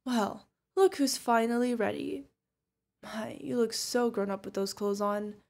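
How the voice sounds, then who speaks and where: A woman's voice: on the high side, steady tone, measured pace, creaky, a little mellow. A woman playing a protective older witch mentor, fond, teasing and stern, in an ASMR fantasy roleplay. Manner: affectionate teasing, mock-exasperated. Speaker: voice actor.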